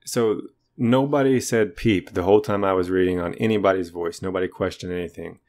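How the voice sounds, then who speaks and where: A man with a naturally deep voice, co-hosting a podcast in a rambling, self-deprecating chat. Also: fairly even intonation, brisk, darkish tone. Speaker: podcast co-host. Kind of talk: casual chat.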